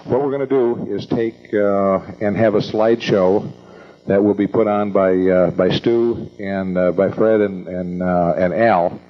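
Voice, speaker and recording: An older American man hosting an evening meeting, introducing speakers informally in a halting, rambling, folksy way. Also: fairly low pitch. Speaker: event host introducing speakers. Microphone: event microphone. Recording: dull lo-fi event recording.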